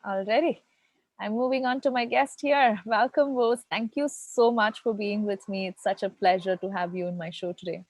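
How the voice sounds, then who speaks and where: A woman's voice: medium pitch, normal speed, dry recording. A woman hosting a live online interview show, greeting viewers and her guest effusively. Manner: warm, effusive welcomes. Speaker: show host.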